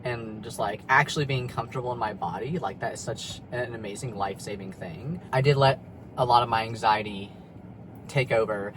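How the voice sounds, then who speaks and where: A man's voice: fairly low pitch, a little fast, noisy background. A young man talking candidly in a personal vlog from his car in a parking lot, rambling and confessional, with lots of fillers and swearing. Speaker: vlogger.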